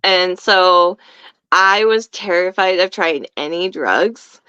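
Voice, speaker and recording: A middle-aged woman with a smooth, clear voice who chats and tells stories easily. The recording sounds slightly dull, as if low quality. It is also dry, with no room sound. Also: slow, pushed and bright, dry recording. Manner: chatty storyteller, laughs easily. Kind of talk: casual chat.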